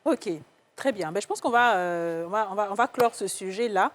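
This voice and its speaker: An Ivorian woman hosts a casual talk show panel with a medium-pitched voice. She speaks colloquially and reacts with exclamations as she steers the discussion. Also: moderate pace.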